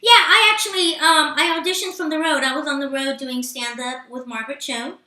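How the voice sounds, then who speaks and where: A woman's voice: sits high, steady tone, a little fast, bright, forceful tone, roomy sound. A woman who grew up speaking Spanish, chatting as an actress guest, stopping often on fillers and restarts. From Los Angeles. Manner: hesitant, many fillers and restarts. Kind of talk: casual interview chat.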